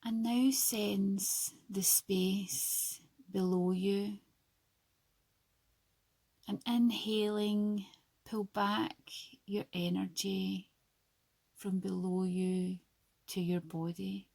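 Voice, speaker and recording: A woman guiding a sleep meditation in a soft, breathy, soothing and hushed voice, leaving long pauses between short phrases. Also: mid-range voice, flat delivery, no room sound, rough recording. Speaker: yoga nidra guide. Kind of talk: slow guided sleep meditation.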